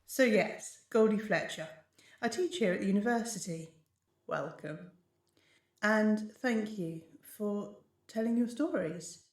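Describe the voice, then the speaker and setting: A woman's voice: medium pitch, a little slow, darkish tone, pitched above the performer's own voice, close to an everyday voice. A woman voicing a proper, dry-witted female university teacher. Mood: formal.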